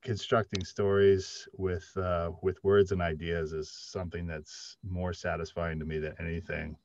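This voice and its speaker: A middle-aged man on a panel, reflecting as a writer in halting, thoughtful phrases over a video call. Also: sits low, little pitch movement, a little mellow, highs cut off, a little hiss or hum. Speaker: panelist, audio drama writer. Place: indoor room at home, video call.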